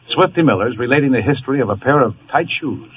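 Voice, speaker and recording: A man's voice: medium pitch, animated, quick pace, breathy edge. A man with an American accent doing scripted 1940s announcing as presenter of a radio drama. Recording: muffled 1940s radio recording. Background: music.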